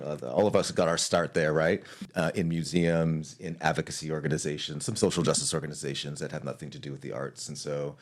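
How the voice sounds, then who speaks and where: A man from Hawaii, an arts leader, talking through his career in a casual interview. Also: rumbling low.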